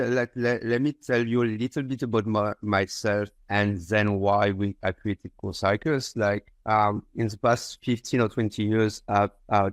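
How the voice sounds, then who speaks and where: A man's voice: fairly low pitch, dry recording. A man from France with a French accent, explaining his business conversationally as an interview guest. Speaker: company founder, podcast guest.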